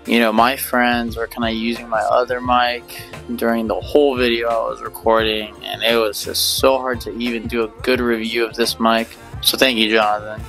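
A man's voice: medium pitch, vocal fry, fairly bright tone. A man in his twenties talking casually to camera in a slangy, rambling way, on a small mic in a bedroom. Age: 20s. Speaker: vlogger. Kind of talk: casual direct-to-camera vlog talk. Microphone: small lapel mic held near the chin.

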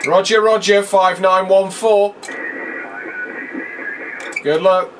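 A man's voice: sits high, fairly even intonation, takes it slowly. A man working as an amateur radio contest operator, giving clipped, repetitive callsign exchanges in phonetic alphabet. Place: small indoor radio room. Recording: noisy radio shack, clear static hiss.

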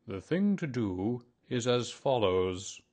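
A man voicing a pompous, long-winded owl in a children's story, with a ponderous, drawn-out delivery. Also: medium pitch, big pitch swings, a little mellow. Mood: pompous, instructive.

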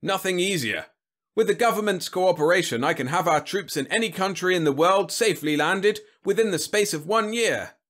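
A man's voice: on the high side, expressive, unhurried, fairly bright tone, voice pushed up. A man voicing a big, overconfident, bullying army general in an audiobook story, speaking in an emphatic, blustering, snapping way. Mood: confident, forceful. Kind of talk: audiobook character acting.

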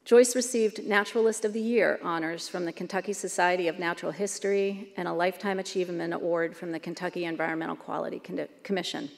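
A woman's voice: on the low side, steady tone, quick pace, gravelly, few pauses. A middle-aged woman at a podium, steadily reading a prepared award introduction. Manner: reads prepared text steadily. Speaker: award presenter. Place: large banquet hall stage. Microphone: podium microphone. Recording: long hall reverb.

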